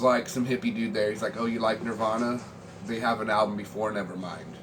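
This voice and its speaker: An American man in his forties chatting casually to viewers in a laid-back, slangy, meandering way. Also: medium pitch. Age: 40s. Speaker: vlogger showing a music collection. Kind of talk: casual rambling chat. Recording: clearly noisy room ambience.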